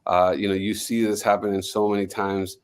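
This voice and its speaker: A man's voice: on the low side, little pitch movement, brisk, smooth. A man who grew up in Miami, a music executive speaking confidently as a podcast guest, often restarting his sentences. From Miami, United States. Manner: fluent, confident, with frequent restarts. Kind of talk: podcast interview.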